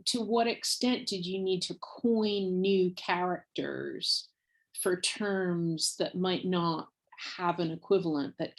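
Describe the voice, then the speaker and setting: A woman moderating a panel discussion, asking inquisitive questions and reading out audience questions. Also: fairly low pitch.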